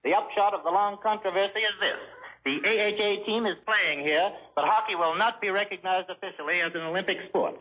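A male foreign correspondent with a high-pitched, husky voice reads a formal 1940s radio dispatch. The vintage broadcast sound is muffled and lo-fi. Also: fairly quick. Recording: dull shortwave-era 1940s radio recording.

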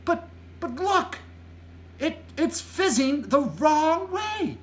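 A storyteller as curious little girl, lifted pitch. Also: expressive, takes it slowly, a bit bright. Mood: alarmed. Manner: questioning, polite. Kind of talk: children's storybook read aloud.

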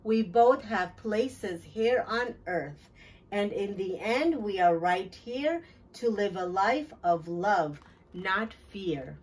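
A middle-aged woman hosting a children's storytime, reading a picture book aloud with deliberate, clear phrasing for young listeners, picked up from a little distance. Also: mid-range voice, expressive. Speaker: children's storytime host and teacher. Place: small decorated classroom-style storytime corner, indoors.

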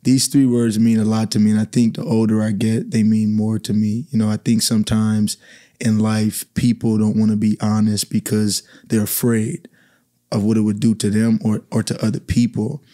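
A man from the Bahamas with a low, mellow voice speaks in a calm, even way. He talks thoughtfully as a guest into a studio boom mic. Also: moderate pace. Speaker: basketball coach, podcast guest. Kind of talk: podcast conversation. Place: small podcast studio. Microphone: studio mic on boom arm.

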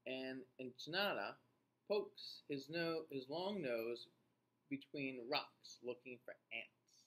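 A man in his forties reading a picture book aloud to young children in an expressive, teacher-like way, with playful asides. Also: medium pitch, slow pace, poor audio. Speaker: children's read-aloud host. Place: small indoor room.

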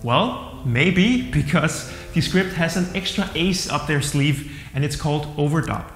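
A man's voice: on the high side, expressive, measured pace. Danish-accented man in a roomy hall. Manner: upbeat and enthusiastic, plain-spoken. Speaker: software reviewer. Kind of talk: casual review to camera. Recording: roomy hall-like echo with soft music.

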